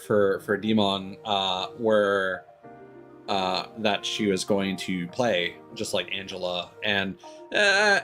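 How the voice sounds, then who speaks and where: Low-voiced man chatting on a game stream. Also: fairly bright tone. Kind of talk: casual livestream chat over gameplay.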